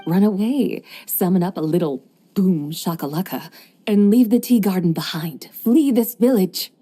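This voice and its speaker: A woman voicing a composed, gently teasing young woman with a secret in a game cutscene. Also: mid-range voice, normal speed, breathy edge, close to an everyday voice. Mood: serene. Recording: soft game music underneath.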